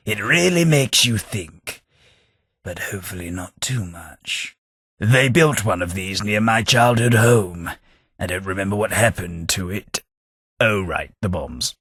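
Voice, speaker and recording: A hapless, polite English gentleman in a game, speaking in an unhurried, low, soft voice with a slight huskiness. His manner is mild, self-deprecating and drily humorous, captured in a dry, close studio recording. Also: husky, no room sound, full character voice. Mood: dry wry. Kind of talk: game character voice lines.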